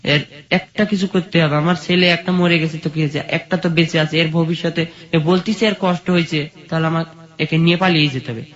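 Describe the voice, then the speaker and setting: A man's voice: a little high, steady tone, a little fast, a bit bright. A man with a Bangladeshi accent telling his own life story as an interview guest. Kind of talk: personal life-story narration. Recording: dull lo-fi sound.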